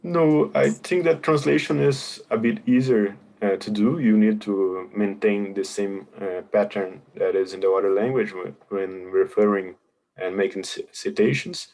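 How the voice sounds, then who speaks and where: A man's voice: fairly low pitch, measured pace, vocal fry, darkish tone, low-quality recording. A man presenting a webinar, patiently explaining contest rules and answering questions over a video call. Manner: patient, explanatory, hesitant with many fillers.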